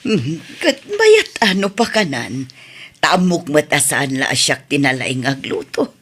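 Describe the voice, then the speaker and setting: Husky, lively-voiced mother, as a woman plays her. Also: sits low, measured pace. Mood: tender. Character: loving mother, middle-aged.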